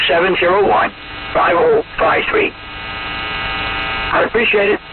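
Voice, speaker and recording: A man preaching on the radio, deliberately giving out contact details and asking listeners to respond. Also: sits high. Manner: deliberate, spelling things out slowly. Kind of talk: religious broadcast appeal, spelling out contact details. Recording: hissy, narrow-band shortwave radio with heavy noise. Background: loud radio hiss.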